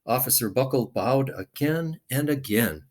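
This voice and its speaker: A man's voice: medium pitch, lively intonation, a little slow, a little hiss or hum. A man reading a children's picture book aloud expressively, with dramatic emphasis.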